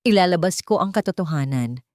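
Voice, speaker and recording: A woman voicing a wealthy husband who speaks forcefully and accusingly. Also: high-pitched, animated, brisk, no room sound, clean studio sound. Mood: determined. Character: wealthy husband, angry then resolute.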